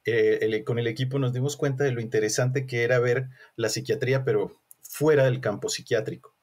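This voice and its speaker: A man's voice: mid-range voice, fairly even intonation, fairly quick, darkish tone. A man from Colombia, an academic giving conversational, discursive interview answers and often rephrasing himself. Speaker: academic researcher, interview guest.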